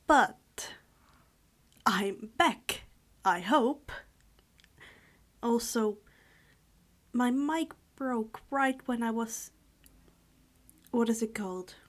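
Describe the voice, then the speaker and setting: A woman speaking in a hushed, subdued voice, halting and correcting herself as she chats and reads stories aloud. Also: on the high side. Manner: halting, stumbling over words and correcting herself. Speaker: storyteller reading fan fiction aloud for a channel. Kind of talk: informal chat, then read-aloud narration.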